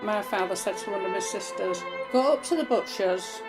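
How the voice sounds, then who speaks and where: A woman's voice: medium pitch, moderate pace. An older woman, fondly telling childhood anecdotes as a former mill worker. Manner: fond, lively anecdote telling. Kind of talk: interview reminiscence. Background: violin music.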